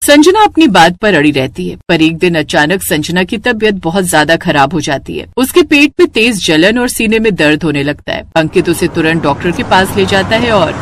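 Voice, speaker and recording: A woman narrator tells a scripted moral story. Her pitch moves about a lot, giving the telling an animated, expressive flow. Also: moderate pace, hardly any pauses, slight background noise.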